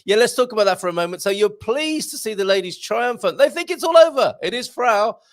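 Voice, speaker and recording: Animated male host. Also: high voice, brisk. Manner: enthusiastic, repeats words, reads viewer questions. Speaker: show host. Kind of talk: live Q&A show hosting.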